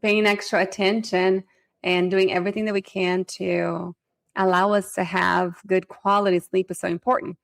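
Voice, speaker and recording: A woman who hosts a health podcast, her voice carrying a gravelly fry. She puts her questions to the guest in a measured way. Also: medium pitch, flat delivery. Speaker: podcast host. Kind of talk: interview questions and comments.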